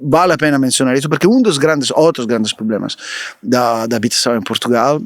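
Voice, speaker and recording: A man's voice: medium pitch, fairly quick, dry recording. A man from southern Italy speaks as a guest researcher, with an Italian accent and a mid-range voice. He explains things analytically, often correcting himself, in a dry, close podcast sound.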